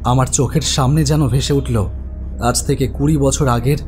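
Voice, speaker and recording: A man's voice: mid-range voice, steady tone, a little fast, darkish tone, soft background music, close to an everyday voice. An actor playing an anxious, haunted man who dramatically tells his own horror story. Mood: agitated, bewildered. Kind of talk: dramatic first-person horror narration.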